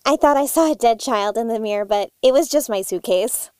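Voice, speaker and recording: A woman's voice: fairly high pitch, brisk, a little mellow, smooth, dry recording, studio quality. A woman in a comedic audio sketch, talking in a bubbly, cheerfully oblivious way. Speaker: cast member.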